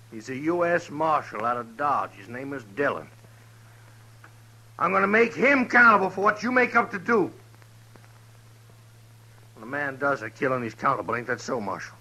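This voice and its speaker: A vengeful Western outlaw chief with a harsh, cutting edge and a gravelly rasp. He threatens in rough rural talk that swings widely, in the manner of 1950s radio drama. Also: mid-range voice, very animated, moderate pace, pauses often, pushed and bright, heavily acted, poor audio. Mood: menacing, demanding. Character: vengeful male outlaw gang leader, menacing and volatile. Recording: old 1950s radio recording.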